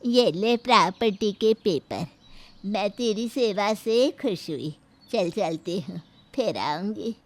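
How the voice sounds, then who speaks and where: A woman's voice: medium pitch, lively intonation, slow pace. A woman voicing a crotchety, bossy old grandmother-in-law in a story, grumbling and commanding. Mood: approving. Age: older. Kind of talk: Hindi moral story character acting.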